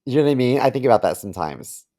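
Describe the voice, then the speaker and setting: Halting, casual podcast talker, sex unclear. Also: medium pitch, lively intonation, warm tone. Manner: hesitant, halting while thinking aloud.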